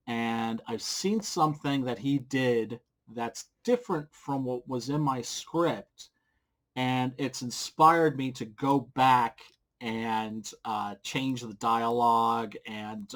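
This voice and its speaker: A man's voice: medium pitch, a bit bright. Blunt, informal middle-aged man. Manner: blunt, informal, crude humor. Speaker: comic book creator giving advice. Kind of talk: casual advice vlog to camera. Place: small indoor home room. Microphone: desk microphone with pop filter, close.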